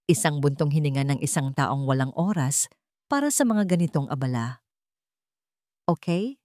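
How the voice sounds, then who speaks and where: A woman's voice: sits low, lively intonation, a little fast, darkish tone, no room sound, clean studio sound. A woman reading a romance story as a dramatic scripted narration.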